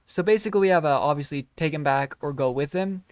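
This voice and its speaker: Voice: medium pitch, quick pace, no echo. Casual game streamer thinking aloud. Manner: musing, hesitant, thinks aloud. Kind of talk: casual playthrough commentary. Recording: game music behind the voice.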